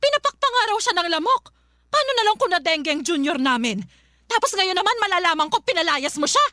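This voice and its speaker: A woman's voice: very high voice, sing-song, fast talker, bright, forceful tone, no room sound, clean studio sound, heavily acted. A woman acting as an overbearing Filipino mother who scolds and threatens while defending her grown son in a radio drama. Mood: indignant scolding.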